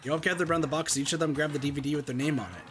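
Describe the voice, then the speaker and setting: A man's voice: fairly high pitch, steady tone, racing, fairly bright tone, faint music behind, lightly acted. A man playing an anxious, earnest teenage schoolboy, dramatically narrating a visual novel. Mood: tense. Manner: dramatic, intense. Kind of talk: reading visual novel aloud in character.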